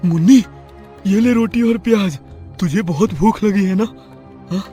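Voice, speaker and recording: A man's voice: sits high, measured pace, warm tone. A man acting a poor, desperate and loving labourer father in storybook dialogue. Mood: tender. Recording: music under the voice.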